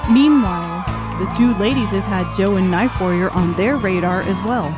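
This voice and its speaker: A woman's voice: medium pitch, normal speed, over music. A woman narrator reading scripted audiobook narration.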